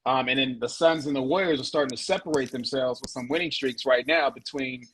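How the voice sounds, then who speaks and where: A man's voice: mid-range voice, brisk, a bit bright, a little hiss or hum, poor audio. A man talking sports as a guest on a casual show, laid-back, often repeating words as he works out his point.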